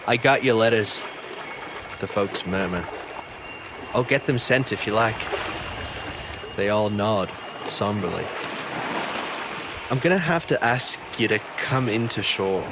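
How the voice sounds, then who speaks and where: An actor playing an earnest, haunted fisheries officer who tells his story haltingly in a first-person audio drama. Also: lowish voice, background noise, natural voice. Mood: uneasy. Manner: halting, earnest, stammering when pressed. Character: haunted male fisheries officer recounting a ghost-ship encounter. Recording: loud boat and sea ambience behind.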